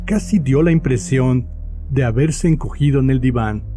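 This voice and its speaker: Male narrator, mid-pitched, dark soft timbre, scripted horror storytelling, faint music. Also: normal speed.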